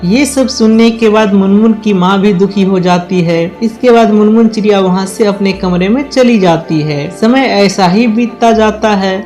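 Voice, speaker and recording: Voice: mid-range voice, moderate pace, few pauses, darkish tone. A storyteller narrates a children's cartoon tale in a scripted style. Faint music plays behind the voice.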